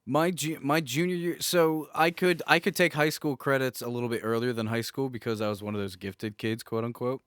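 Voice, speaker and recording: A man's voice: mid-range voice, steady tone, fairly quick, few pauses, fairly bright tone, clean tone, dry recording, clean studio sound. A man co-hosting a podcast, chatting casually and telling personal anecdotes while acting out the dialogue he quotes. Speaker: podcast co-host.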